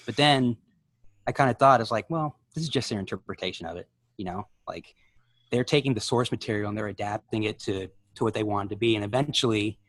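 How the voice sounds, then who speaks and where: Lowish-voiced man in a dry recording. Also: a little fast, a bit bright, no echo. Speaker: podcast co-host. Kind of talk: casual conversational chat.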